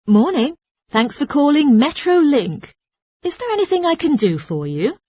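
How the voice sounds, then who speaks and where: A woman's voice: high-pitched, very animated, moderate pace, darkish tone, no room sound. A woman playing a courteous, helpful transport information clerk in a scripted language-test dialogue.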